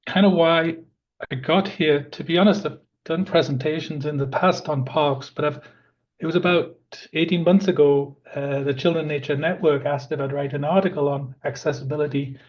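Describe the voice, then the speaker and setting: A man in his fifties, a park planning manager, presents a webinar in a croaky voice, talking in an earnest, conversational way with many fillers. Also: fairly high pitch, a little mellow. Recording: dull lo-fi audio.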